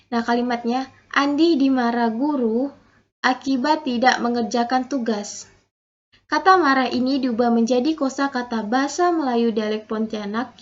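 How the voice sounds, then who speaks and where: A young woman student reading a prepared linguistics presentation in a steady, methodical way, saying example sentences aloud and then breaking them down. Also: sits high. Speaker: student presenting a final assignment. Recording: dull lo-fi recording.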